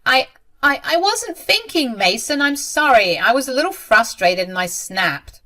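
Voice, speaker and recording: A woman's voice: mid-range voice, animated, measured pace, fairly bright tone. A woman voicing a cruel, haughty mother-in-law. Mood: flustered, pleading. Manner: haughty, scornful. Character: cruel, snobbish middle-aged mother-in-law, whining when cornered. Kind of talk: dramatized story narration.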